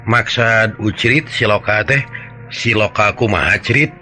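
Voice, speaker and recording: A man's voice: fairly low pitch, a little slow, bright, forceful tone. A man telling a dramatized folk story as dialogue, in an earnest, conversational manner. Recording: music behind the voice.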